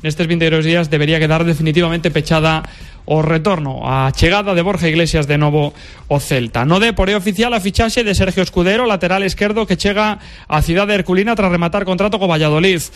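High-voiced man reading sports news. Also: fast talker. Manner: steady, scripted reading. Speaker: sports newsreader. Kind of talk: radio sports bulletin.

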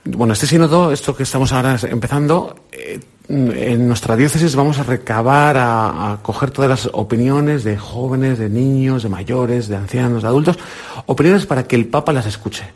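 A man's voice: medium pitch, husky. A middle-aged man with a Spain Spanish accent, a radio presenter running an encouraging quiz with a children's group. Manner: encouraging, quizzing with quick questions. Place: indoor visit with a children's group.

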